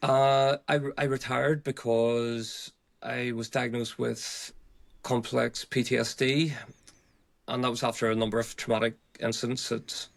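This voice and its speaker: A man in his forties with a Northern Irish accent, telling his own story as an interview guest in a halting, conversational way. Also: mid-range voice, gravelly. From Northern Ireland. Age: 40s. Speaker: podcast guest, retired police officer. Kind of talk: reflective personal interview.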